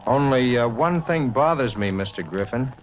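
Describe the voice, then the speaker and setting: An actor playing a brisk, stubborn, excitable man buying a house in a 1940s radio drama. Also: medium pitch, natural voice. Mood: uneasy. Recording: noisy, dull old radio recording.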